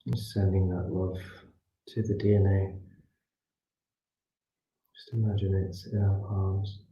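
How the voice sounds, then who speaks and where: A man leading a guided meditation as a class teacher, speaking soothingly in short phrases with pauses between them. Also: low-pitched, little pitch movement, dark tone. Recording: muffled echoey room, distant from mic.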